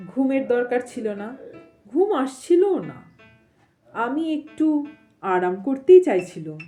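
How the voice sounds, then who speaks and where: Woman storyteller, high voice, lively swooping intonation, expressive suspenseful narration, soft guitar music behind. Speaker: storyteller, audio story channel host. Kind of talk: dramatic reading of a Bengali ghost story with casual asides.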